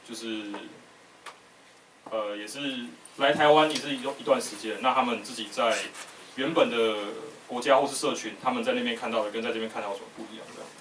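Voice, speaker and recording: A man moderating an informal live panel in English and Mandarin, asking loosely phrased, halting questions. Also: mid-range voice, fairly even intonation, fairly bright tone. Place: live talk event in a room. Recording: echoey room, distant mic, faint noise.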